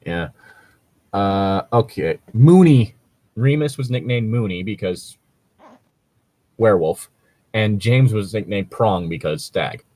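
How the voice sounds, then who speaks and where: Low-voiced man rambling through an explanation. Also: animated, slow pace, long pauses, dark tone, no room sound. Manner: rambling, explanatory, casually sweary. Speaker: co-host reading and discussing fan fiction. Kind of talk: casual chat and commentary.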